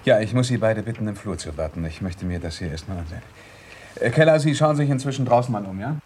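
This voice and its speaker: Male actor as authoritative inspector, radio crime drama. Lower register, courteous, businesslike, official. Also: expressive, fast, lightly acted.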